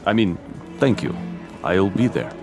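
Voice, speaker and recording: An actor playing a wary fugitive in a radio drama, guarded and politely hesitant. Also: male voice, low voice, big pitch swings, measured pace, over loud music, heavily acted. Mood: nervous. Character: wary, hunted male fugitive hiding his nerves.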